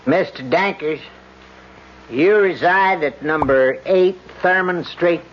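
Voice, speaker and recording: Slow, deliberate trial lawyer. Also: male voice, on the high side, slight background noise. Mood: formal, probing. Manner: deliberate, formal questioning. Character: methodical male defense lawyer, weary, questioning a witness. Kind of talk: 1970s radio drama acting. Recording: dull old radio broadcast recording.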